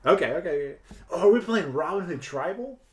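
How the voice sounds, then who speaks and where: A man's voice: high voice, lively intonation, quick pace. A man streaming a card game, chatting casually and joking with his opponent. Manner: joking, upbeat, laughs often. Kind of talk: casual chat while streaming.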